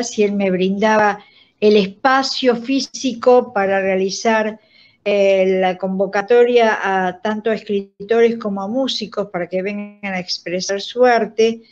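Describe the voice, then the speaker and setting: A woman's voice: medium pitch, a little mellow. An older woman with an Argentine accent, explaining things in conversation as an interview guest. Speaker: radio drama director, guest. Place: indoor room, on a video call. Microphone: video call device microphone. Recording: dull, lo-fi call audio.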